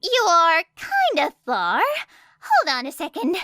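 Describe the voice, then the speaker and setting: A woman voicing a brash, excitable teenage girl inventor in an audiobook story, boastful and eager. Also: very high voice, takes it slowly, no echo, clean studio sound, voice pushed up, heavily acted.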